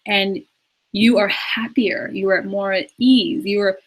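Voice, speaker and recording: A woman's voice: medium pitch, fairly even intonation, unhurried, poor audio. Earnest young woman life coach. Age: 20s. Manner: earnest, repetitive, emphatic, with laughs and restarts. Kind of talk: live Q&A talk on spirituality.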